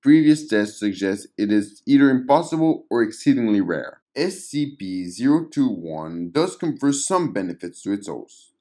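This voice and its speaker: A man's voice: lowish voice, a little mellow. Man reading a formal clinical report. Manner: measured, formal read-aloud delivery. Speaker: narrator of fictional audio logs. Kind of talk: scripted narration of a clinical report.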